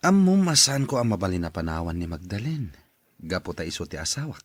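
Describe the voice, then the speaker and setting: A man's voice: low-pitched, big pitch swings, brisk, husky, no room sound, exaggerated voice. A man playing an evasive married man in an Ilocano radio drama. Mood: defensive, exasperated. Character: evasive married man, grown son and cheating husband.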